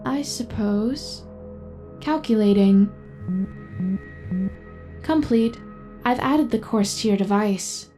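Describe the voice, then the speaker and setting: Evie, a woman as a mellow female AI companion. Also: on the high side. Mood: precise, helpful. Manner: measured, precise, composed. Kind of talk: audio drama acting and scripted narration. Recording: music behind the voice.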